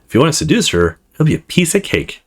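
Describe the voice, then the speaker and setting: A player voicing a calm, teasing, reassuring young man in a visual novel. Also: medium pitch, fast talker, breathy edge.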